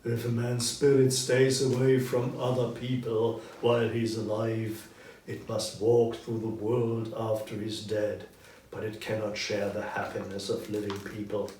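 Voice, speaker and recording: A solemn storybook ghost of a dead businessman, acted out by a man, speaks slowly and emphatically. The voice is anguished and full of regret. It rings in a roomy echo, some distance from the mic. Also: on the low side, flat delivery, darkish tone, a little hiss or hum, natural voice. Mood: stern, demanding. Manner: slow, solemn, emphatic declamation.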